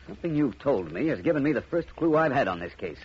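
An actor playing a calm, courteous private detective with an assured manner in a 1950s radio drama. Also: male voice, mid-range voice, quick pace, breathy edge. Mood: reassuring, confident. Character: calm, confident, polite male private detective. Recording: muffled, noisy old radio recording.

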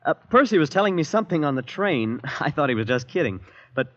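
An actor playing an earnest, boyish young man in a vintage radio drama, speaking in a halting, sincere way. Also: fairly high pitch, big pitch swings, a bit bright. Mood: polite unease. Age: young adult. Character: earnest lovestruck young American man, increasingly alarmed guest. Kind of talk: 1940s-50s radio drama acting and narration. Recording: dull vintage radio broadcast recording.

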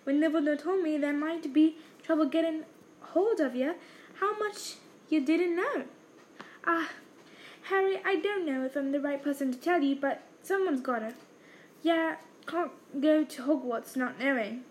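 A woman voicing a huge, gruff but kindly male half-giant gamekeeper in a read-aloud novel, with folksy, clipped dialect speech. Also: high-pitched, measured pace, a little hiss or hum, pitched above the performer's own voice. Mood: earnest.

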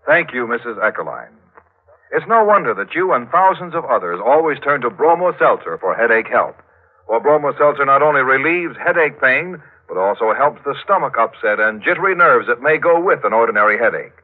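A man announces a vintage radio commercial. His delivery is animated, with a medium pitch, and the recording is thin and narrow-band. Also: brisk. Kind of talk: 1940s radio ad read.

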